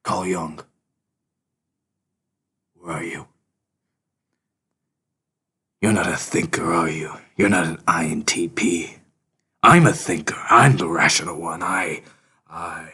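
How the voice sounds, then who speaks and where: A man's voice: deep voice, slow, pauses often, bright, forceful tone, slightly rough, heavily acted. A man playing a hot-tempered, threatening self-styled rational thinker in a comedy sketch, given to theatrical outbursts. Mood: brooding.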